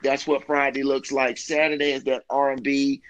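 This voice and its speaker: An enthusiastic man in an informal interview chat, speaking as a musician about his work. His voice has an ordinary middle pitch and is recorded very dry, with little room sound. His phrasing is loose and friendly slang. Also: level tone, normal speed, fairly bright tone, no echo, low-quality recording. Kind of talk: casual chat about career and new album.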